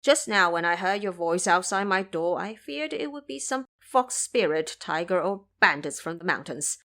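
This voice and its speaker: A woman's voice: lowish voice, lively intonation, moderate pace, bright, forceful tone. A woman voicing an elderly male farmer, a courteous and solemn host, in an audio drama.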